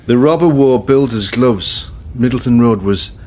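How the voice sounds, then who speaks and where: An actor playing a persistent, probing middle-aged detective in a radio drama. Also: male voice, mid-range voice, a little mellow, slight background noise, lightly acted. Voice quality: probing, measured. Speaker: police memory specialist.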